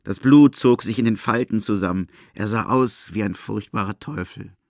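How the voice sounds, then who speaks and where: A man reads literary narration for an audio drama. His voice is on the low side, and the recording is dry, with very little room around it. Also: moderate pace, creaky, darkish tone, no room sound.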